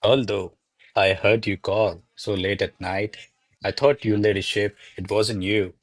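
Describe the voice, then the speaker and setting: A man's voice: fairly low pitch, fairly even intonation, a little slow, no echo. A man playing a deferential, flustered servant to a noble lady in a radio play, speaking formally and placatingly. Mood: flustered, apologetic. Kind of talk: radio play acting of a classic comedy.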